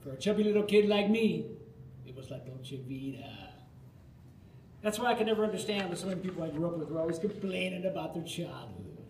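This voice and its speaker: Higher-pitched older American man, roomy live storytelling. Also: animated, a little mellow. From Florida, United States. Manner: nostalgic, theatrical storytelling with dramatic pauses. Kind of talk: live autobiographical monologue for radio theatre. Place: live radio theatre performance. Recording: roomy live recording with faint noise.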